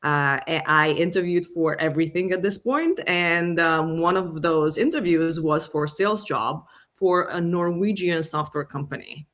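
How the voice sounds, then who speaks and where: A woman's voice: low voice, normal speed, fairly bright tone. A woman with a Hungarian accent, a guest in an interview, talking through her career in a conversational way with many fillers. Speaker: guest, revenue enablement executive.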